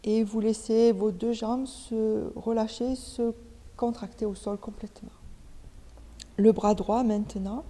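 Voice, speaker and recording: Woman yoga teacher talking ppl thru relaxation step by step, really soft dark tone, kinda high, pauses. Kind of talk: guided yoga nidra instruction. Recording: long reverberant hall echo.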